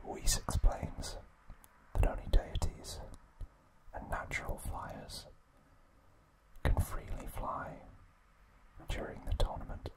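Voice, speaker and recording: A man whispering an ASMR recap narration in short, hushed, unhurried phrases. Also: poor audio.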